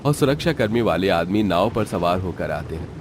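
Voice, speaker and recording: A man's voice: sits low, expressive, brisk, a little hiss or hum. A man narrating a scripted, dramatic story.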